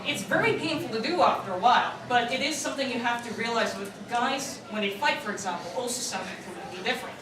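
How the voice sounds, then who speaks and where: A woman's voice: animated, fast talker, hardly any pauses. A woman speaking on a convention panel as an amateur voice actor, taking audience questions. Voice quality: somewhat low natural speaking voice, pitched down by habit. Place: large echoing convention panel room. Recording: echoey hall, distant mic, clear background noise.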